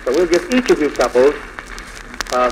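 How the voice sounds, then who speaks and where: A man's voice: fairly high pitch, very animated, normal speed, noisy background. A man hosting a 1940s radio show in the announcing style of the era, on an old recording.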